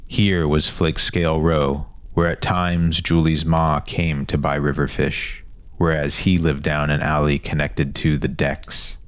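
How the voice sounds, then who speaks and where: Male storyteller, extremely low register, dry close sound, fantasy audiobook narration. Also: little pitch movement, a little slow, dry recording.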